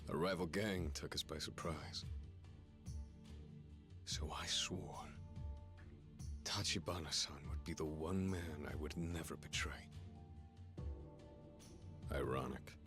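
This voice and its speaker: An actor playing a volatile, guilt-ridden gangster in a dramatic English video game dub, with a coarse, intense delivery. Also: male voice, rumbling low, slow, pauses often, music in the background. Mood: regretful, reflective.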